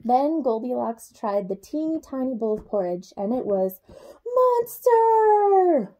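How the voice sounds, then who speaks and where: A young adult woman reading a children's picture book aloud in an expressive way, speaking to young children. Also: sits high, slow pace. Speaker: childcare supervisor reading a bedtime story.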